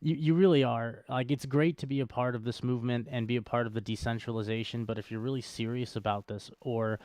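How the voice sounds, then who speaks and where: A man's voice: medium pitch, fairly even intonation, quick pace, a little mellow, no echo. A man co-hosting a show with casual podcast banter and commentary, wry and joking in manner. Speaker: co-host of a cryptocurrency show.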